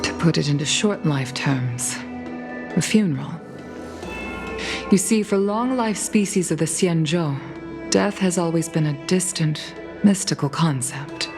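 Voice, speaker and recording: An actress playing a dignified fox-like woman commander in a game, speaking in a measured, formal and sorrowful way. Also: low-pitched. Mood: solemn. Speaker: commander of a fleet commission. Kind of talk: game cutscene voice acting. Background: game soundtrack music.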